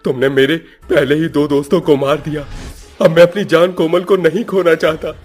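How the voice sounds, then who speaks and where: A man's voice: on the high side, little pitch movement, normal speed, a little mellow, soft background music, pitched above the performer's own voice, lightly acted. A man voicing a frightened, pleading young man in a horror cartoon, with a strained, dramatic delivery. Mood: pleading, afraid.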